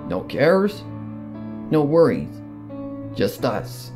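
A man's voice: medium pitch, huge pitch swings, very slow, darkish tone. A man reading a first-person fan fiction story aloud in an emotive, theatrical way. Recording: music under the voice.

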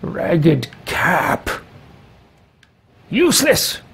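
A man voicing a pompous, power-hungry fantasy mage with theatrical, drawn-out delivery in a video game roleplay. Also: high-pitched, huge pitch swings, fairly bright tone, slightly rough, voice pushed up, heavily acted. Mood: scornful. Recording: faint background noise, game ambience.

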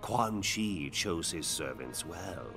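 Evil god villain for a game, super deep, slow n snooty, bossy. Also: male voice, expressive, music in the background. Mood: gloating disdain. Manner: imperious, disdainful, measured. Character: haughty, cold, evil fallen god. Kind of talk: dramatic video game villain acting.

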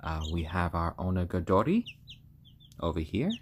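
A man with a fairly low, slightly hoarse voice chats casually on a home live stream. His pitch moves around a great deal as he drifts between halting explanation and gentle pet talk. There is clear noise behind him, with birds sounding. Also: big pitch swings. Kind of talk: casual chat on a live stream, with cooing to pets. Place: room in a home, indoors.

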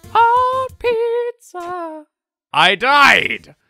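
A man with an English accent hosting a voiced game playthrough, chatty and quick to yelp. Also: very high voice, huge pitch swings, gravelly, dry recording, faint music behind. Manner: chatty, bursts into loud laughter and yelps. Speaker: let's play host.

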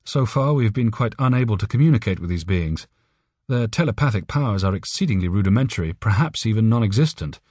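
A man's voice: fairly low pitch, animated, fast, darkish tone, husky, no room sound, studio quality. A man voicing a worried, deferential alien scientist in an audiobook story, speaking formally. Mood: earnest, worried. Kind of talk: audiobook character reading.

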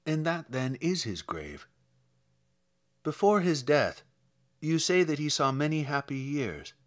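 A man's voice: on the high side, sing-song, measured pace. A man playing a grieving traveller who anxiously asks after a lost brother in a dramatic poetry reading, hesitant and emotional. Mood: anxious, subdued.

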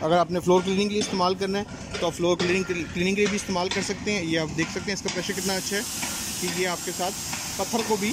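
A man's voice: high-pitched, fairly even intonation, very fast, noisy background. A man with a Pakistani accent giving an instructional live product demonstration outdoors, explaining as he goes. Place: outdoors, on a street and a rooftop.

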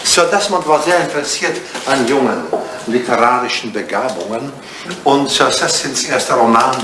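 An actor playing an elderly, devoted English butler in a 1980s German radio drama, speaking formally and deferentially with dry understatement. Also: male voice, mid-range voice, normal speed, natural voice. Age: older. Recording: roomy, noisy old radio play recording.

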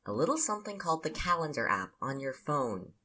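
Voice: mid-range voice, very animated, a little fast, bright, forceful tone. An actor playing a practical, scatterbrained young housemate in a casual audio drama, teasing and sarcastic. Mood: exasperated.

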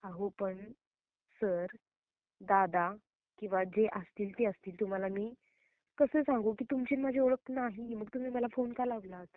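A woman's voice: mid-range voice, fairly even intonation, unhurried, no room sound. A woman with a Maharashtrian Marathi accent, scolding emphatically and indignantly in a heated phone argument. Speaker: prank call recipient. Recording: narrow tinny phone-call recording.

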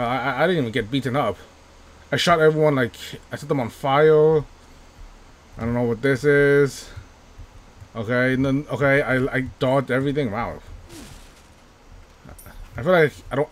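Male let's-play host, casual and self-mocking, repeats phrases under pressure. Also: mid-range voice. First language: not English, by his own joke. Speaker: gaming YouTuber.

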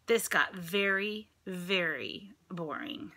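Bright-voiced American woman reading a picture book. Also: lowish voice, slow, a little hiss or hum. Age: middle-aged. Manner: expressive, exaggerated reading with questions to listeners. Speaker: storybook reader, teacher reading to children. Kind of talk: read-aloud of a picture book with chatty asides to young listeners. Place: indoors at home, room with dresser and ceiling fan. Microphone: phone or tablet at arm's length.

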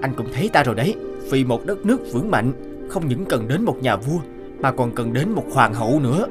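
A man voicing an earnest young king in a children's cartoon, with a formal, measured delivery. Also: medium pitch, very animated, close to an everyday voice. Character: young king confiding earnestly in his attendant. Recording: music behind the voice.

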